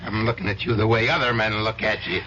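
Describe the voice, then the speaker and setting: An actor playing a jealous, menacing husband who sneers and taunts, in the style of 1970s radio drama. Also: male voice, lowish voice, flat delivery, quick pace, natural voice. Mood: bitter, accusing. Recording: dull, noisy old radio broadcast.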